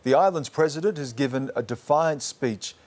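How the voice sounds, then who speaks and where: A man's voice: mid-range voice, normal speed, a little mellow. A middle-aged man anchoring a news program, introducing stories and an interview with serious authority. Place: studio.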